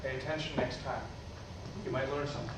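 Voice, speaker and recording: A man's voice: mid-range voice, steady tone, quick pace, lightly acted. A man acting a stern preacher father, distant and echoey. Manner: curt, dismissive. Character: stern, evasive preacher father, middle-aged. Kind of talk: staged play reading. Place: indoor theatre stage. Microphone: distant camera microphone. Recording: echoey stage recording, far off, noisy.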